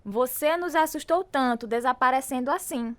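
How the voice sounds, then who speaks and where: A woman's voice: high voice, normal speed, fairly bright tone, no echo. An actress playing the worried, loyal wife of a troubled man, with a pleading, concerned manner. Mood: pleading, hurt.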